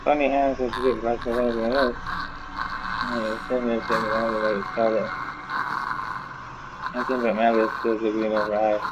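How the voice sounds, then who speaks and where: A man's voice: mid-range voice, level tone, moderate pace, pauses often. A man giving a casual video game playthrough commentary, rambling and reading the on-screen text aloud in a half-mumble. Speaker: gaming YouTuber. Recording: dull, noisy home gaming recording.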